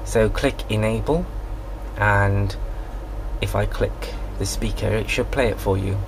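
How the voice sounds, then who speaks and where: A man giving an informal, plain step-by-step software tutorial as a radio hobbyist, recorded on a handheld camera in a small room. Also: fairly low pitch, measured pace, background noise. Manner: plain, instructional. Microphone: handheld camera or phone microphone.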